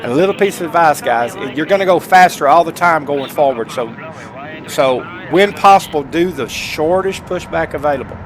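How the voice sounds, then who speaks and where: A man's voice: fairly high pitch, animated, moderate pace, crowd noise. A middle-aged man giving a casual, folksy step-by-step game tutorial on a headset, addressing his viewers informally. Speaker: gameplay tutorial host.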